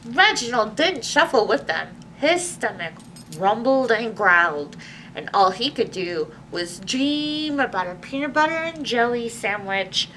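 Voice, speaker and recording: A woman presenting a storytime for young children, reading aloud and chatting to them in an animated, exclamatory way. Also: fairly high pitch, a little slow. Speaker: library storytime host. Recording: distant microphone with faint background noise.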